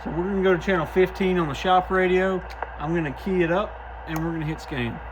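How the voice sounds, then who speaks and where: A man with a slightly high voice talks casually through testing gear, plainspoken and folksy. He sounds like a shop technician hosting his own video. There is faint noise behind him. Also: fast. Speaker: host, CB radio shop technician testing used radios. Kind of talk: casual hands-on product testing commentary.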